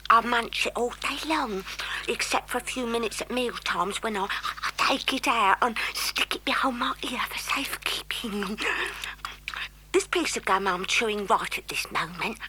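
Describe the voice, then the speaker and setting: A woman's voice: on the high side, bright, forceful tone, slight background noise, poor audio. An actress playing a brash, boastful gum-chewing schoolgirl in an audio drama, chewing as she talks. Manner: chewing while talking.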